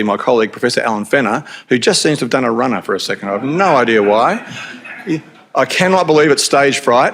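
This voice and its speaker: A middle-aged Australian man opening a live event as its host, speaking in a relaxed way with joking asides and frequent fillers. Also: medium pitch. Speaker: institute director opening an event. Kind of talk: live event welcome speech. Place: event venue with audience.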